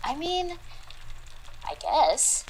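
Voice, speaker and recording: A woman's voice: sits high, sing-song, drawn-out words, slight background noise, heavily acted. Methodical, articulate woman investigator, played by an actress. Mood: casual, easygoing. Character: analytical, unflappable woman investigator of the paranormal. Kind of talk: audio drama dialogue, measured theorizing.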